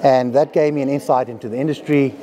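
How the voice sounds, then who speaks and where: A middle-aged man who grew up in South Africa, a company president giving a relaxed conference interview and explaining technical matters step by step with some self-deprecating humor. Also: medium pitch, quick pace, crowd noise. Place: conference hall.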